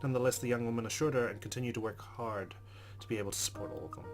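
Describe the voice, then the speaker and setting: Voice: medium pitch, steady tone, rapid-fire, music in the background. A let's player reading a visual novel's narration aloud and adding casual asides.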